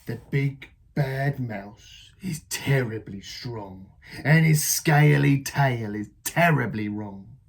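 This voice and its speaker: A woman voicing a big gruff monster father in a children's storybook, speaking slowly and dramatically. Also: very deep voice, full character voice. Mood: ominous.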